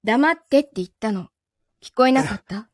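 A woman's voice: fairly high pitch, lively intonation, moderate pace, a little mellow, no echo, studio quality, lightly acted. A woman playing a betrayed, possessive young lover in a live audio drama, with a Kansai Japanese accent and a controlled, coldly teasing manner. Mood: cold, commanding.

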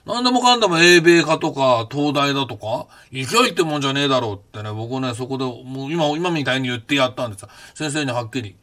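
Brief: man, 50s, podcast host, lively and blunt in casual memoir talk, mid-range voice. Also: mid-range voice, quick pace, few pauses. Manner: animated, blunt storytelling with rough casual speech. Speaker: podcast host recounting his past.

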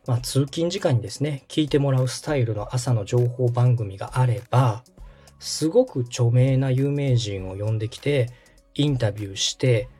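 Mellow-voiced man explaining podcasts politely. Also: medium pitch, moderate pace, slightly rough, soft background music. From Osaka, Japan. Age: 30s. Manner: polite, explanatory, many fillers. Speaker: podcast host and radio director. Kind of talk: explaining casual chat.

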